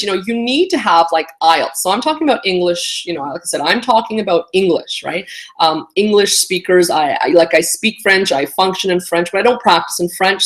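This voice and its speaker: A woman whose first language is Romanian, a career coach and lawyer giving blunt, casual advice, full of fillers and tag questions. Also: lowish voice. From born in Romania, raised in Canada. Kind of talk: casual talking-head advice video.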